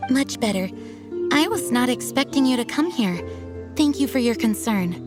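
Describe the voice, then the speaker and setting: A woman playing a noble, dutiful young knight commander in a video game, speaking formally and earnestly in measured sentences. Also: a little high, expressive, lightly acted. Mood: solemn, grateful. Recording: music behind the voice.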